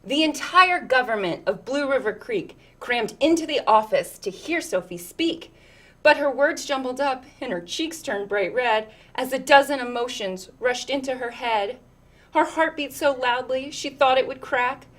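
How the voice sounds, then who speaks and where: A woman's voice: sits high, a little slow. A woman reading a children's picture book aloud, expressively, with chatty asides. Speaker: storytime reader.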